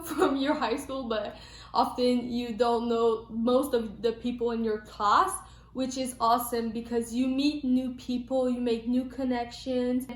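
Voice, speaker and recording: A young woman with a Quebec French accent speaks in a slightly high voice, chatting to camera in the emphatic, self-correcting way of a vlogger. French is her first language. The room around her has a noticeable echo. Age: young adult. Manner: chatty, emphatic, restarts words often. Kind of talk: casual chat to camera. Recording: roomy echo.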